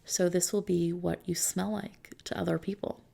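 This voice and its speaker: A woman doing an ASMR roleplay as a customization consultant, talking softly and unhurriedly to a customer in a gently explanatory, reassuring way. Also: lowish voice, flat delivery, normal speed, vocal fry. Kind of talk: ASMR roleplay, soft customer-service talk.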